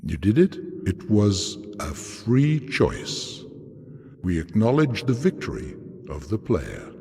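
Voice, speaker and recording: A man playing a coolly superior observer in a video game, pronouncing judgement deliberately and dramatically. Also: lowish voice, huge pitch swings, gravelly, a little mellow, heavily acted. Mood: solemn, declaring. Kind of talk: theatrical scripted game cutscene dialogue. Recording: long hall reverb.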